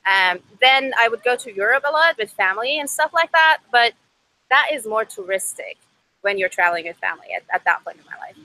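Bright-voiced young Iranian woman, conversational. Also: fairly high pitch, animated, a little fast, rough recording. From Iran. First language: Farsi. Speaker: podcast guest, startup co-founder. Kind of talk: conversational personal storytelling. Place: outdoors by a river. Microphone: video call.

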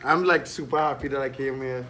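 A man's voice: mid-range voice, fairly even intonation, brisk, bright, forceful tone. A man, talking candidly and informally as an interview guest. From Trinidad and Tobago. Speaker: interview guest, online entrepreneur. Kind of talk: casual conversational storytelling.

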